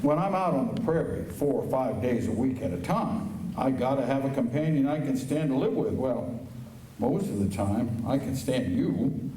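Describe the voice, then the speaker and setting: A man playing a gruff, no-nonsense, plain-spoken western marshal in an old-time radio drama. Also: mid-range voice, expressive, normal speed, slight background noise, natural voice. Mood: gruff, exasperated. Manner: blunt, commanding, plain-spoken. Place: high school auditorium stage. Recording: echoey auditorium, distant mic, loud music.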